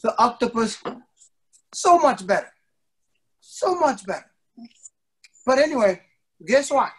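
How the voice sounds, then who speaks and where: A middle-aged man telling a playful story to children as their instructor, theatrical, with drawn-out emphasis and pauses. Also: very high voice, big pitch swings, husky. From an island country. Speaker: martial arts instructor telling stories to children. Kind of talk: playful interactive storytelling for kids. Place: indoor wood-panelled studio, on a video call.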